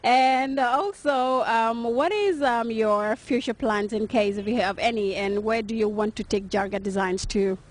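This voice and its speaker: A woman reporter asking interview questions in a measured way, pausing on drawn-out ums. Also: on the high side.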